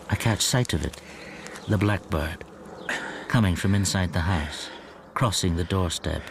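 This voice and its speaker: An actor playing a mild, lonely, wronged bookseller in despair, halting and introspective in a radio drama. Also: male voice, low-pitched, background noise. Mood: resigned, despairing. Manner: introspective, halting, talking to himself. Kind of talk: radio drama acting with interior monologue.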